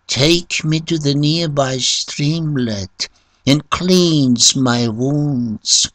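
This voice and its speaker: A man voicing a cunning, proud, wounded Satan in a story, pleading in drawn-out, commanding phrases. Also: sits low, expressive, vocal fry, pushed and bright, no room sound, heavily acted. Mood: weak, coaxing. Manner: slow, drawn-out, commanding pleas.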